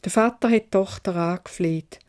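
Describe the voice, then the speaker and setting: A woman's voice: fairly low pitch, moderate pace, a little mellow, smooth. A woman with a Swiss German accent narrating a fairy tale for children in dialect, as a storyteller.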